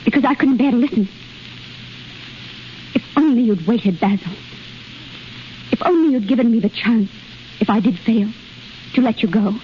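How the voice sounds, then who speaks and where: A woman's voice: a little high, normal speed, long pauses, lightly acted. An actress in a 1930s radio drama playing a fragile, self-sacrificing young woman, speaking in an earnest, plaintive, melodramatic way. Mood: heartbroken. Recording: dull, hissy 1930s radio recording.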